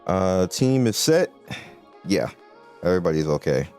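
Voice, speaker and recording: Guy with a low voice chatting through his phone game, rambly, lots of ums, some quiet music behind. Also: big pitch swings, unhurried, smooth. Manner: rambling, loose, with many fillers and restarts. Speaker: gaming channel host. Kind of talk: casual gameplay commentary.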